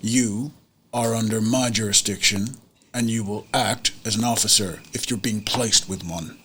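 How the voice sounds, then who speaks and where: A man's voice: fairly low pitch, steady tone, moderate pace, fairly bright tone, a little hiss or hum, natural voice. A man playing a stern senior police officer who scolds and orders a subordinate around.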